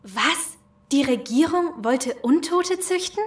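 A woman's voice: high-pitched, lively intonation, takes it slowly. An actress playing a bewildered, forlorn young female survivor in a horror audio drama.

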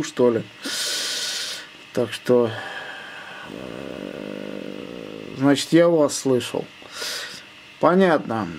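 A man's voice: medium pitch, gravelly, a little mellow, slight background noise. A man making casual amateur radio contact, spelling out call signs in phonetic words. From Russia.

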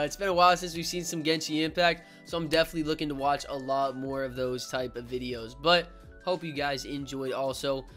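Bright-voiced young man doing slangy reactions. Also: a little high. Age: young adult. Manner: slangy, profane, joking. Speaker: reaction video host. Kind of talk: casual reaction commentary.

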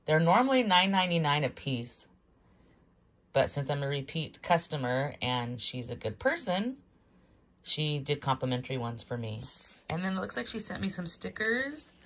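A middle-aged woman vlogger chatting casually and enthusiastically as she unboxes parcels, often exclaiming. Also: a bass voice. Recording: clear background noise, crinkling packaging. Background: crinkling paper and packaging, clicks.

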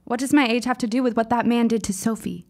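Woman acting a creaky-voiced, earnest teenage girl. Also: on the high side, steady tone, fast talker, darkish tone, close to an everyday voice. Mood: earnest, dramatic. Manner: formal, wordy, dramatic phrasing. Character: precocious, earnest fifteen-year-old girl writer, shaken but insistent. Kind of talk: audio drama acting.

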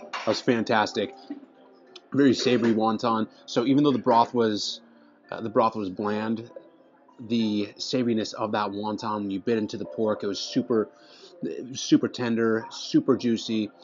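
A man in his thirties talks eagerly to the camera as he tastes dishes at a restaurant table, often restarting his phrases. His voice sits low. The recording is a little muffled, with faint music playing behind him. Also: fairly even intonation, moderate pace. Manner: enthusiastic, restarts phrases while tasting. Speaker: food vlogger. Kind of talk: casual food review to camera. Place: indoor restaurant dining room. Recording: slightly dull sound, faint music behind.

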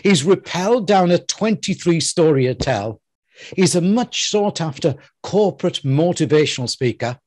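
A man's voice: a little high, lively intonation, moderate pace, a little mellow, no echo. An older man hosting an interview, talking playfully with theatrical emphasis on a headset mic. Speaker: host. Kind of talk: interview intro and questions.

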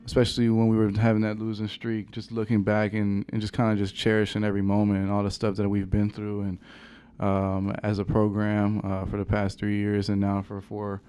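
A young man, a college basketball player, answering questions at a post-game press conference in a halting, soft-spoken way with many fillers, into a table microphone. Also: fairly low pitch, completely flat delivery, clean tone. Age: young adult. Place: press conference room with sponsor backdrop.

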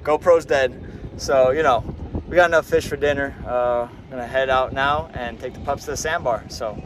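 Young male boat vlogger, easygoing and chatty, on an action camera with clear wind and engine noise. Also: mid-range voice, normal speed. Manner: relaxed, chatty, talking to his dogs. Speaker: fishing vlogger. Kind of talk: casual vlog narration. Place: small open boat on the water outdoors.

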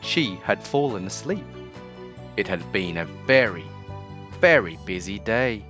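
A man reading a children's book aloud as narrator, in a measured and expressive storytelling manner. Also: lowish voice. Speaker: storybook narrator, channel host. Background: music.

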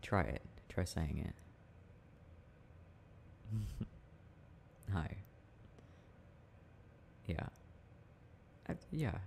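Deep mellow gravelly guy, playful boyfriend asmr murmur, bit of background noise. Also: big pitch swings. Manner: playful, affectionate, halting and murmured. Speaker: boyfriend roleplay audio performer. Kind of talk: intimate ASMR boyfriend roleplay, casual chat.

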